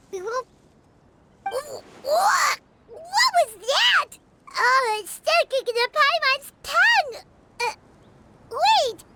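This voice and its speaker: A woman voicing a tiny, eager, cheeky fairy companion in an animated game style, with a squeaky childlike voice. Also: very noisy, heavily acted. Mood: pleading, eager, then startled. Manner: refers to self in third person, exclamatory. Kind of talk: animated video game voice acting.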